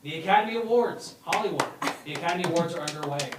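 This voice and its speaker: A man's voice: fairly high pitch, rapid-fire, very noisy. A man narrating a script at a live read-through, stumbling now and then and prone to laughing. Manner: stumbles over lines, breaks into laughter. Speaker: narrator reading stage directions at a table read. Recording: roomy, distant mic, music behind.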